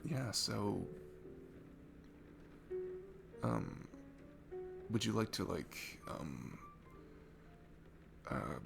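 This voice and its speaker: A man's voice: lowish voice, creaky, exaggerated voice. A man playing a shy, sweet, nervous young guy at a bar in an intimate ASMR roleplay, stammering and hesitant. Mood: shy, hopeful. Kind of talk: ASMR roleplay audio, intimate one-sided conversation. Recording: music behind the voice. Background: music.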